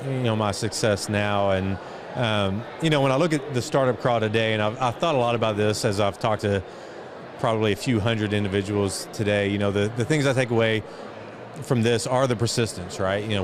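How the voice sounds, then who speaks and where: A man's voice: fairly low pitch, fairly quick. A middle-aged man speaking as an interview guest in a reflective, conversational way. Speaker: guest, entrepreneur and foundation chairman. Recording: noisy outdoor event, street and vehicle ambience.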